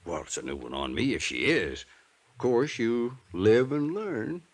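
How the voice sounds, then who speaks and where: A man's voice: mid-range voice, big pitch swings, unhurried. An actor playing a folksy, self-important older small-town newspaperman in a 1950s radio drama, talking colloquially with showy big words. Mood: folksy, knowing.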